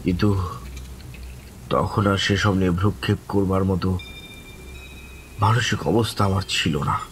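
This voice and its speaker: Distraught male survivor narrating audio horror, lowish voice, slow halting dramatic delivery, noisy atmospheric background. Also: fairly even intonation. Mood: haunted, tense. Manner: halting, dramatic, repeating words, breaking into desperate cries. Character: terrified, distraught man recounting a haunting he lived through.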